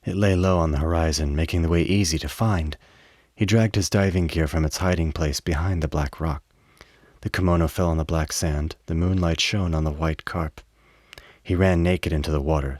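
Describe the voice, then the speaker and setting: A man narrating a radio play with measured, literary dramatic storytelling. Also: low voice, darkish tone, no echo.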